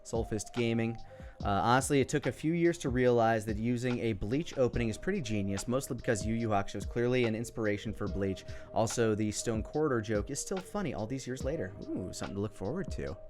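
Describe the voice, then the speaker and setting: American guy in his 30s just rambling through casual commentary, cuts himself off, mid pitch, music playing behind him. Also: expressive, fast talker, darkish tone. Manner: rambling, self-interrupting. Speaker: video creator. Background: background music.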